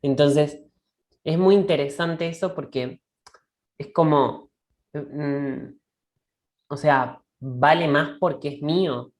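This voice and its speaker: A woman with a notably low voice talks through ideas slowly and reflectively. She pauses often and restarts phrases. She keeps checking in with her listener, as if over a video call. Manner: thoughtful, halting, often checks in with a questioning "right?". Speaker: discussion co-host. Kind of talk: reflective conversational dialogue.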